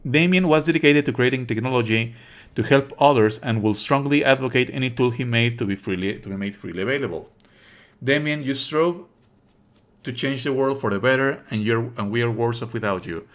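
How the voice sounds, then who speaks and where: 비꼬는 말투의 남자 게임 스트리머. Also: male voice, mid-range voice, a little fast. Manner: sarcastic, exasperated asides. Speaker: streamer playing a visual novel. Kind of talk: live let's play, reading text aloud with commentary.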